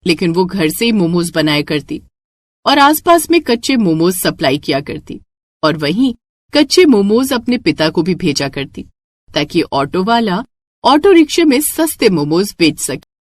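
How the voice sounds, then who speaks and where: A woman's voice: fairly low pitch, very animated, measured pace, dry recording. A woman narrating a scripted moral story for a cartoon.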